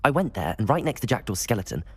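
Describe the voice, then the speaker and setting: A keen young student of magic in a fantasy game, quick and very animated. The voice is slightly husky, and the manner is courteous and earnest. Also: mid-range voice. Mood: excited. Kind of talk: video game voice acting.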